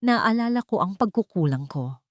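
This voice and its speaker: A woman with a Filipino accent voicing a grieving, guilt-ridden widowed father in an audio drama, in a hushed, halting manner. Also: medium pitch, animated, no echo, studio quality, natural voice. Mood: sorrowful, guilty.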